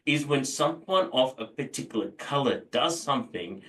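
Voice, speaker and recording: Man, born in India, thoughtful, bright tone, distant mic. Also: mid-range voice, lively intonation. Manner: measured, thoughtful, many fillers. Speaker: guest. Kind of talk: interview.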